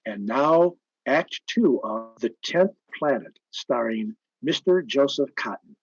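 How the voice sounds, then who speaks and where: A man's voice: medium pitch, expressive, slow, slightly rough, dry recording. A man announcing and advertising in old-time radio style, with an emphatic, persuasive, polished delivery. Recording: dull, band-limited old-time radio sound.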